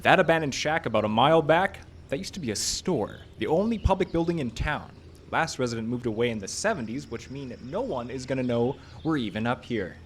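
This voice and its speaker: A man playing a grandiose, shifty low-budget movie director, eager and wheedling, in a radio comedy. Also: animated, fairly quick, close to an everyday voice. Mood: confident pitching. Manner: enthusiastic, evasive, wheedling. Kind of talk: live radio comedy acting. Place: live show in a park. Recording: live show with faint background noise.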